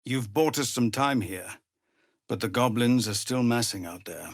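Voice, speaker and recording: An actor playing a weary, honourable middle-aged male tiefling soldier in a fantasy game, speaking in an earnest, formal, measured way. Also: medium pitch, dry recording, clean studio sound. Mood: grave, resolute. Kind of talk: dramatic fantasy game dialogue.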